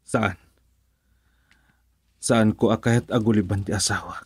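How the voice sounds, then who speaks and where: A man's voice: lowish voice, steady tone, a little slow, a little mellow, breathy edge, no echo, full character voice. An actor playing a brooding, troubled married man in an Ilocano radio drama, with a Filipino Ilocano accent. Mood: troubled, musing.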